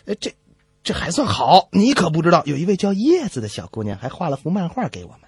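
A man co-hosting a comedy radio show, delivering scripted banter with animated mock exasperation. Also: a little high, fast talker, slightly rough, dry recording, studio quality. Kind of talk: scripted comic banter between radio hosts.

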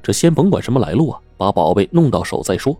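A man voicing a sly, smooth-talking shopkeeper who speaks softly and ingratiatingly. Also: lowish voice, very animated, fast, dry recording, faint music behind. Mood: sly coaxing.

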